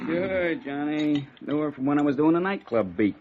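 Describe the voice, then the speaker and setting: An actor playing a wisecracking, streetwise police detective in a 1950s radio noir drama. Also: male voice, on the high side, lively intonation, normal speed, noisy background, lightly acted. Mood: casual, sardonic. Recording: muffled vintage radio recording.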